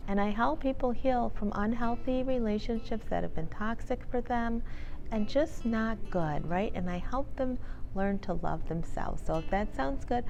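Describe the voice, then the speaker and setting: A woman host reading a children's book aloud in an animated, expressive storytelling manner. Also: on the high side, vocal fry. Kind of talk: expressive storybook read-aloud with casual introduction. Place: inside a bookstore.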